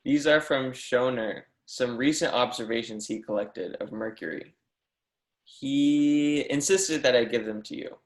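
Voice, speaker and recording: A man's voice: mid-range voice, a little slow, reverberant, close to an everyday voice. A man reading the part of an eager, persistent young mathematics professor, earnest and declamatory, in an amateur readers theatre. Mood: eager, hopeful.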